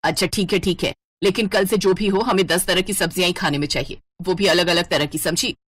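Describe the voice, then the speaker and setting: A woman's voice: lowish voice, fairly even intonation, brisk, a bit bright. Woman acting a stern, fussy older mother-in-law. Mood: displeased, bossy. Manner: scolding, bossy.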